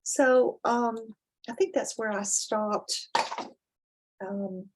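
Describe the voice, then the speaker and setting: A woman with a slightly husky voice, reading a children's story expressively and chatting informally about it. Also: on the high side, steady tone, extremely slow, noisy background. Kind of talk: dramatic storybook reading with casual explanatory asides.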